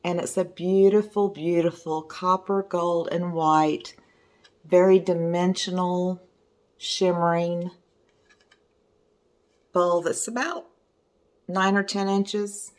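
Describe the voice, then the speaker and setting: Hoarse, slow-talking woman. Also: fairly low pitch, fairly even intonation. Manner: chatty, enthusiastic, meandering. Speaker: resin art teacher. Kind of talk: casual video talk showing artwork. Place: her home in the evening.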